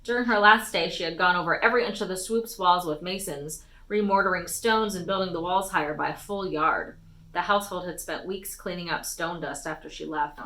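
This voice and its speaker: A woman reading a fantasy novel aloud as an expressive storytime narration. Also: fairly low pitch, fairly quick, low-quality recording. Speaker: storytime reader.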